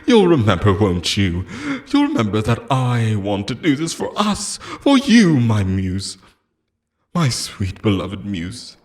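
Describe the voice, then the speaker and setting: A man's voice: lowish voice, huge pitch swings, slow, heavily acted. A man playing an obsessive immortal lover in an audio roleplay, theatrical and intense, shifting between pleading tenderness and menace. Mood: anguished, bitter. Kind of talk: dramatic ASMR-style audio roleplay monologue.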